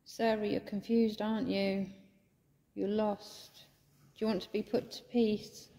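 English woman, gravelly and mellow, ghost hunter calling out gently, church echo on a phone mic. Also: medium pitch, level tone, unhurried. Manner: coaxing, soft, often drops to a whisper. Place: old church interior. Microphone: handheld phone camera. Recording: echoey church with long reverb.

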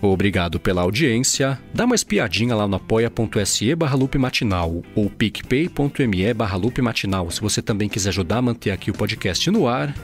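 A man's voice: on the low side, expressive, a little fast, hardly any pauses, faint music behind. A Brazilian man hosting a daily tech news podcast, chatty and informal, peppering his reading with little tag words. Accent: Brazilian Portuguese. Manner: casual, fillers like "né" and "aí".

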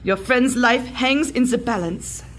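A woman's voice: fairly high pitch, unhurried, slight background noise, natural voice. An actress playing an imperious, coldly scornful female Nazi agent in an audio drama, commanding and formal in speech. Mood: stern, accusing. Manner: haughty, commanding, formal phrasing.

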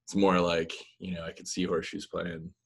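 A man's voice: low-pitched, a little fast, creaky, slightly rough. A man in his thirties, a musician guest, talking casually and loosely with many fillers over a video call from a small room. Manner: relaxed, rambling, many fillers. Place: small room with blinds, indoors. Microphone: laptop webcam mic on video call.

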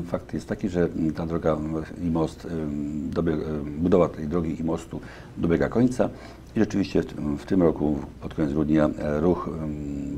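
Very deep-voiced man, halting answers. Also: vocal fry, mellow, slight background noise. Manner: halting, many fillers and restarts. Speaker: deputy county official. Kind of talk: studio interview answers. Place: local TV studio. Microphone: lapel mic.

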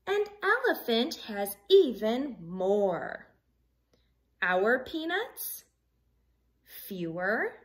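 A woman in her thirties reading a picture book aloud to children like a teacher, in an expressive sing-song way, pausing to put simple questions to them. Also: mid-range voice. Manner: expressive, sing-song storytelling with questions addressed to young listeners. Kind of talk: children's read-along with simple math questions. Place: living room at home.

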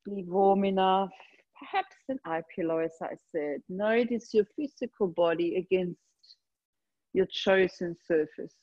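A woman leading a guided meditation, speaking gently and unhurriedly in short phrases with long pauses between them. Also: fairly low pitch, highs cut off. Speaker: yoga nidra meditation guide. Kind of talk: guided meditation, slow soothing instruction.

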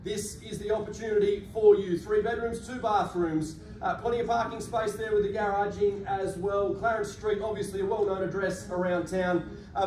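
A man's voice: sits high, darkish tone. An Australian man working as an auctioneer, calling a live property auction in polished, projected sales patter, recorded across a large function room. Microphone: far camera across the room. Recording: echoey room, distant mic, faint noise.

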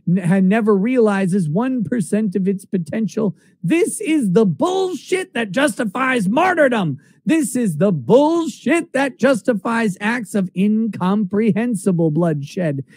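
A man's voice: high voice, a little mellow, no room sound. A middle-aged man reading a text aloud in a declamatory, emphatic, dramatic way.

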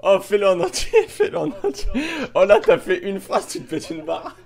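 A man's voice: high-pitched, big pitch swings, moderate pace, vocal fry. A young man with a slangy, teasing way of talking, chatting casually with friends while gaming on a headset. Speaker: gamer, video host. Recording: loud music behind.

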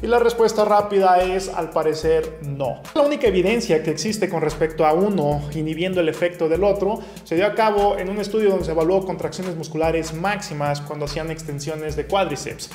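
A young man, a nutrition educator, speaking to camera in a small home room and working through common questions one by one in a clear, orderly way. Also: sits high, brisk, hardly any pauses, a little mellow, reverberant, faint music behind. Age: young adult. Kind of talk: explainer video to camera. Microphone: camera facing him at close range.

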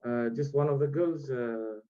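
A man in his thirties with a Fijian accent, giving halting, easygoing interview answers as a guest over a video call. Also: mid-range voice, warm tone. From Rotuma, Fiji. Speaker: guest, music producer. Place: small room indoors, on a video call. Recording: dull video-call audio.

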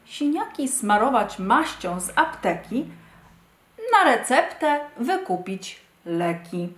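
A woman's voice: on the high side, very animated, slow, fairly bright tone, echoey room, heavily acted. A woman voicing a wise female owl doctor in a children's story, instructive and emphatic. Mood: firm.

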